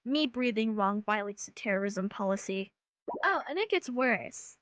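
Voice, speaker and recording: A woman's voice: medium pitch, animated, moderate pace, fairly bright tone, no room sound. A woman delivering rant commentary in a sarcastic, blunt way with swearing. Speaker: video host, commentary creator.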